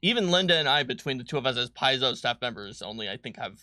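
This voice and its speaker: Bright-voiced man co-hosting a game stream. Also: mid-range voice, lively intonation, fast talker, no room sound, clean studio sound. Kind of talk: casual livestream chat.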